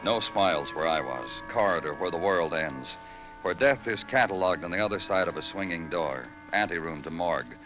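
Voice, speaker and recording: Deep-voiced, world-weary radio detective. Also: male voice, animated, normal speed. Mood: somber. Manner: brooding, poetic, clipped delivery. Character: hardened, world-weary male New York homicide detective. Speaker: narrator and lead detective. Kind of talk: 1940s-50s radio crime drama acting. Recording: dull vintage radio recording with music.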